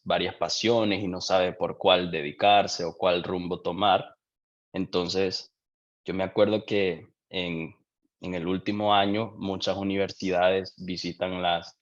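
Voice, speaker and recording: A young man from Honduras, a guest in an interview, answering in a reflective, unhurried way with frequent restarts. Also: deep voice, lo-fi sound. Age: young adult. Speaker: guest, doctoral student.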